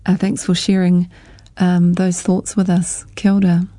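Nz woman radio host, calm and measured, slightly low mellow voice. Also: no echo. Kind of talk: radio interview.

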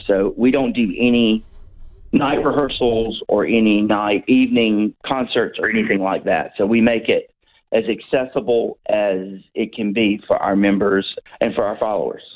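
A man's voice: lowish voice, normal speed. A man in his sixties from North Carolina with a Southern American accent, a guest on a radio chat show, talking casually with many ums and restarts. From North Carolina, USA. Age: 60s. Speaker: radio guest, brass band member. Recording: tinny phone line.

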